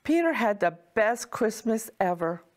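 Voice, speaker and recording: A woman reading a children's storybook as a kindly Mrs. Claus, in a deliberate, expressive way. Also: on the high side. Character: Mrs. Claus, kindly motherly wife of Santa.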